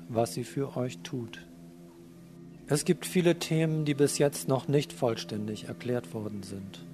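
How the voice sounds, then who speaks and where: A man narrating a scripted spiritual message in a measured, solemn and formal read-aloud manner. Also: medium pitch, a little mellow. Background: music.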